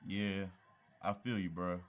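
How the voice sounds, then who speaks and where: A friendly, easygoing young man, played by an actor in an audio drama. His voice is very deep. The recording sounds dull and lo-fi. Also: little pitch movement, slow pace.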